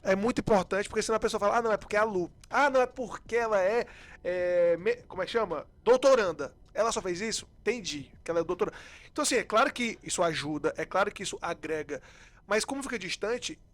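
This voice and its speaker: High-voiced Brazilian man explaining emphatically. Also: lively intonation, fast talker. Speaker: podcast host. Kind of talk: casual explanatory chat.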